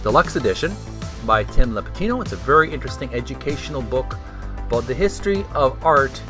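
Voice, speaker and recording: A man hosting a video gaming channel, casually presenting and reading aloud in a halting, hesitant way. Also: mid-range voice, expressive, music in the background. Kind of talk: casual vlog intro and reading aloud from a book.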